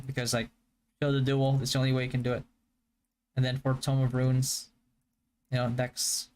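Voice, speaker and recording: A young man from the Philippines whose first language is Hiligaynon, chatting casually on a live stream and answering viewer questions in a relaxed, stop-start way. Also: medium pitch. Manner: relaxed, stop-start, with frequent fillers and restarts. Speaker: game streamer.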